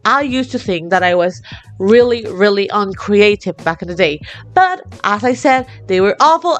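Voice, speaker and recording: A young woman from Latin America, a native Spanish speaker with an accent, chatting excitably to her viewers and laughing often. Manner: bubbly and excitable, laughs often, lively swings in pitch. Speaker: vlogger. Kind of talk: casual chat to camera.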